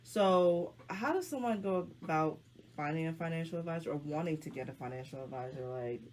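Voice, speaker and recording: A woman hosting a casual live talk show, hoarse from the flu, with a deep voice and a chatty, slangy way of addressing her viewers. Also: brisk, a little mellow, a little hiss or hum. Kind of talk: casual live-stream chat.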